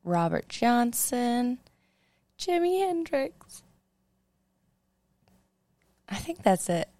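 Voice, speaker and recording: A woman's voice: a little high, sing-song, extremely slow, dark tone, no echo. A woman in her twenties co-hosting a podcast, teasing and playful in casual chat. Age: 20s. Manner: teasing, playful, laughs easily. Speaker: podcast co-host.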